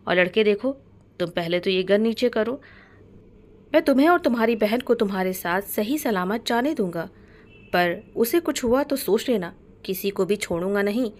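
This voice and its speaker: A woman voicing a bold, protective young man in a story, commanding and quick to anger. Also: medium pitch, lively intonation, darkish tone, natural voice. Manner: commanding, threatening. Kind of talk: dramatic storybook narration in Hindi.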